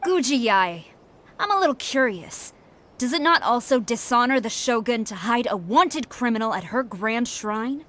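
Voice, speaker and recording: A woman's voice: fairly high pitch, expressive, moderate pace, a bit bright, a little hiss or hum. A woman playing a stern, proud, loyal army general in an anime video game, formal and commanding. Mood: formal, firm.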